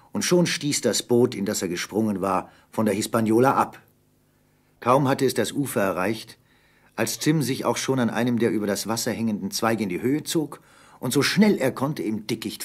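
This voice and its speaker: A man with a husky voice narrating an adventure radio play as scripted storytelling. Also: medium pitch, normal speed.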